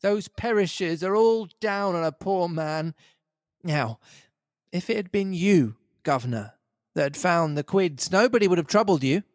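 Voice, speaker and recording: A man's voice: sits high, unhurried, dry recording, clean studio sound, pitched above the performer's own voice. A man voicing a down-and-out male tramp who talks in a beggar's whine. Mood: aggrieved. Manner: wheedling, then blustering.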